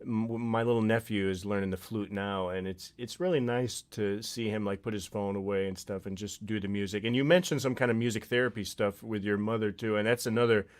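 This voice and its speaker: Animated man chatting on a livestream. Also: lowish voice, fairly quick, hardly any pauses. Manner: animated storyteller, acts out quoted voices. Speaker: livestream co-host. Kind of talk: casual chat.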